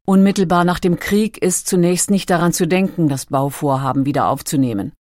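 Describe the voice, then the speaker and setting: A woman reading scripted documentary narration in a measured, factual manner. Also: on the low side, a little mellow, dry recording, studio quality.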